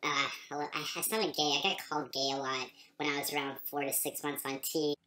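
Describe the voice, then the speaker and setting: A young man putting on a higher, squeaky voice to mock how he sounded early on. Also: little pitch movement, a little fast, slight background noise. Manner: squeaky and softer. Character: his own younger self with a high squeaky voice. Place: small bedroom. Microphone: webcam.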